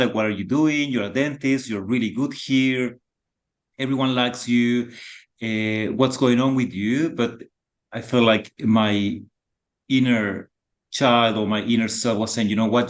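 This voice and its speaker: A man in his thirties from Chile with a Chilean Spanish accent, speaking as a podcast guest in a halting, soft-spoken way with many fillers. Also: on the low side. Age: 30s. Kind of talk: casual reflective conversation.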